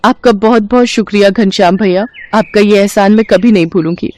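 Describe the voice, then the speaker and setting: A woman's voice: medium pitch, fairly quick, darkish tone, lightly acted. A woman voicing a poor, pregnant young widow in a cartoon story, speaking in an earnest, pleading way.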